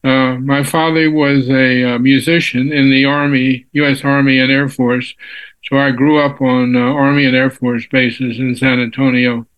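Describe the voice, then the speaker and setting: Man, 90s, from Texas, interview guest, halting speech, lots of fillers. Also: medium pitch, little pitch movement, fairly bright tone, poor audio. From Texas, USA. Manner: unhurried, halting with frequent fillers and restarts. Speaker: physicist guest. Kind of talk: casual interview.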